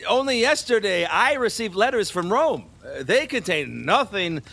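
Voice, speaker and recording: A man playing a nervous, boastful, capricious middle-aged ruler in an audio drama of a classic play, halting and chuckling in a theatrical way. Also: sits high, sing-song, gravelly, fairly bright tone, heavily acted. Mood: rambling, amused.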